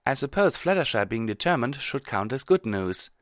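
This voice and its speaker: A man's voice: medium pitch, sing-song, normal speed, fairly bright tone, no echo, clean studio sound, voice pushed up. A man voicing an earnest, sensible female unicorn mage from a cartoon, speaking articulately. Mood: concerned.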